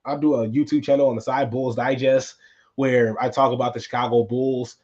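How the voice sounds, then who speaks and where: A man hosting a solo wrestling recap podcast, tired with a voice going hoarse, talking in a casual, rambling way that repeats itself. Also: mid-range voice, steady tone, fairly quick, a little mellow, lo-fi sound. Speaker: podcast host.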